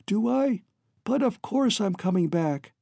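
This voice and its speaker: A man's voice: high voice, animated, measured pace, gravelly, mellow, no room sound, voice pushed up, heavily acted. A man voicing an earnest, devoted young man in an emotional, pleading audiobook dialogue. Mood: reassuring. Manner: choked, emotional, pleading delivery. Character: earnest, devoted young man bidding his family farewell.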